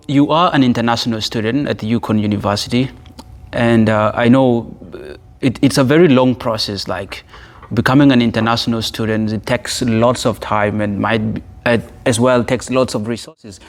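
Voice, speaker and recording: A man from South Sudan hosting a podcast interview, speaking in a friendly, conversational way and often restarting words while he thinks. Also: medium pitch. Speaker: podcast host.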